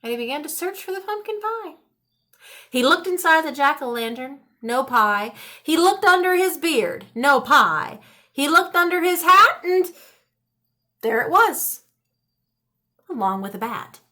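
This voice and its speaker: A woman reading a children's storybook aloud in an animated narrating style. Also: sits high, measured pace. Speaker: storybook reader.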